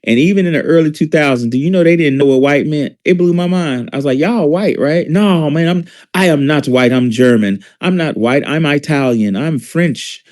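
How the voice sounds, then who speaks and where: A man's voice: a little high, normal speed, hardly any pauses, a little mellow. A man from Alabama, hosting a casual commentary show with blunt, emphatic delivery and profane asides. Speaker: host.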